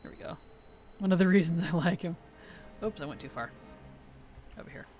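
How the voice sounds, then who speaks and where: A woman game streamer chatting casually and playfully on a livestream, her tired voice starting to weaken and turn gravelly. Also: lowish voice, fairly quick, darkish tone, soft background music. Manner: playful, teasing, chuckles often. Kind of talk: casual livestream chat over gameplay.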